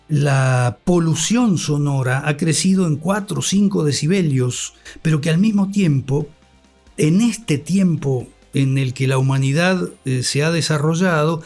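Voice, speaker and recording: An Argentine man speaking thoughtfully as an interview guest and radio scholar, with deliberate delivery and a formal, learned vocabulary. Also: a little high, vocal fry, a little mellow.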